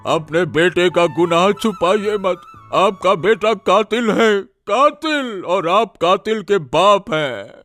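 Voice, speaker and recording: A man's voice: high voice, animated, slow pace, few pauses, fairly bright tone, soft background music, full character voice. A man playing an emotional, anxious older village father in a cartoon moral story. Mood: grieving rage.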